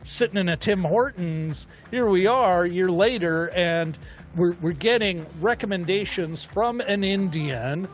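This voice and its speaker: A man co-hosting a film discussion show, chatting casually in a rambling, animated way as he thinks aloud. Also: sits high, a little slow, faint music behind. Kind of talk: casual chat.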